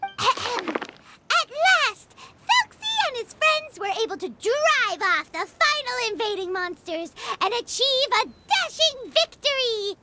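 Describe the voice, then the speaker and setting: Casting: little cheerful fairy girl for a game, extremely high childlike voice, animated swoops, music behind. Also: slow, heavy background noise, full character voice. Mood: dramatic storytelling. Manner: childlike, exclamatory, theatrical storytelling. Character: Paimon, small floating fairy girl, excitable and cheerful. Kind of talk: English game voice acting.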